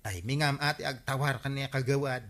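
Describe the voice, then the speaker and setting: A man's voice: medium pitch, steady tone, fairly quick, fairly bright tone, lightly acted. An actor with a Filipino accent playing a chatty village man in a radio comedy drama.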